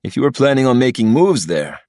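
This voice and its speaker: A man's voice: medium pitch, very animated, a little fast, a little mellow, dry recording, studio quality, pitched above the performer's own voice, close to an everyday voice. A man voicing a cocky, crude young man who mocks others in a laid-back way. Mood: mocking. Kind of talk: audiobook character voice.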